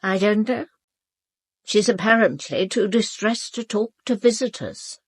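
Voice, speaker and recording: A woman playing an imperious, sharp-witted elderly aristocratic lady in a British radio drama, with an upper-class English accent and a formal, cutting, controlled delivery. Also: mid-range voice, measured pace, slightly rough, dry recording. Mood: cool, insistent. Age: older.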